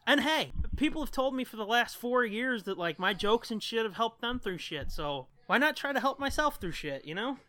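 A man's voice: very high-pitched, lively intonation, moderate pace, hardly any pauses, no room sound. A man co-hosting a podcast in casual chat, joking darkly and swearing freely. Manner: irreverent, foul-mouthed, dark joking. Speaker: podcast co-host.

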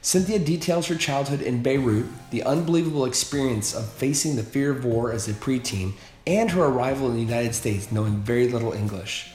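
A man's voice: mid-range voice, a little fast, faint music behind. A man hosting a podcast, reading a scripted introduction and then chatting with a guest, with some hesitant fillers. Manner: warm friendly host, hesitant with fillers when unscripted. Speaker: podcast host.

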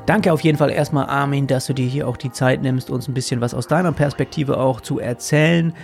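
A man's voice: mid-range voice, fairly even intonation, brisk, vocal fry, a little mellow, soft background music. A man hosting a podcast, talking casually in long, rambling sentences. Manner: rambling, loose phrasing. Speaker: podcast host.

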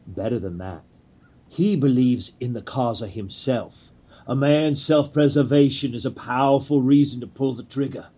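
Man playing a husky, theatrical conspiracy ringleader. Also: mid-range voice, moderate pace, warm tone, close to an everyday voice. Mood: confident. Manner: theatrical, formal, grandiose. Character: proud, commanding male actor-turned-assassin ringleader, secretly nervous. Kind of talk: historical audio drama acting.

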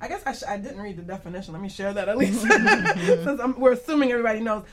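A woman's voice: fairly high pitch, animated, fast talker, a crowd in the background. A woman hosting a casual radio panel discussion in a chatty, informal manner. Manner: chatty, informal, often laughing. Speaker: radio show host. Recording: clear background noise, room ambience.

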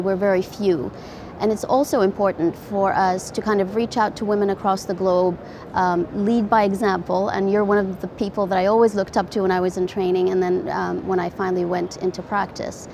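A woman's voice: medium pitch, steady tone, fairly quick. A woman cardiologist as an interview guest, explaining things earnestly in a conversational way. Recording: clearly noisy conference background.

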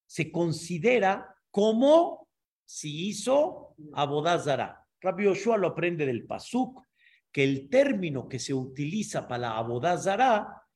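A man, a rabbi teaching a Torah class and explaining patiently, often checking that listeners follow. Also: high voice. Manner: explanatory, checks understanding with frequent asides.

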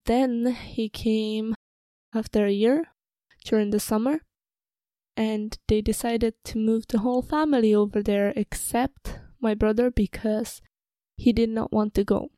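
A woman's voice: a little high, fairly even intonation, unhurried, warm tone, no room sound. A young woman from the Republic, hosting a casual personal podcast in a slightly nervous, hesitant, informal manner. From Czech Republic, moved to Ireland at twelve. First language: Czech. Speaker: podcast host.

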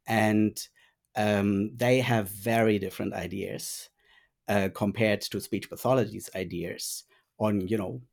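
Low-pitched German-native academic guest, reflective, pausing, trailing off softly. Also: male voice, fairly even intonation, vocal fry. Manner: thoughtful, frequent um pauses, trailing quieter. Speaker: guest, speech pathology lecturer and researcher. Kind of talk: interview answers.

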